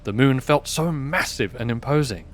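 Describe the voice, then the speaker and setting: A man's voice: medium pitch, animated, normal speed. A man reading scripted story narration as the narrator of an audio drama.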